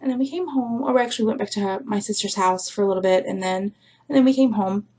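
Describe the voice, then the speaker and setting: A woman vlogger chatting casually to her viewers, rambling with lots of fillers, her voice a little rough from a recent sore throat. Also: medium pitch, fast talker, mellow. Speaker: crafting vlogger. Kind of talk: casual chat to camera.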